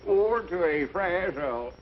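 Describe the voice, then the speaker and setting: A man's voice: high-pitched, animated, slow. A man acting a folksy, naive, stubborn country man with a rustic Southern American drawl in a 1940s radio comedy. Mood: weary, friendly. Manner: rustic drawl with homespun expressions. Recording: noisy, muffled old radio recording.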